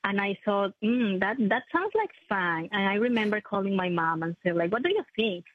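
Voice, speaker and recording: A woman, a prosthetist answering interview questions in a halting, self-correcting way. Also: medium pitch, animated, dry recording. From Ecuador. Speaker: maxillofacial prosthetist, guest. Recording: tinny phone-like line, dry.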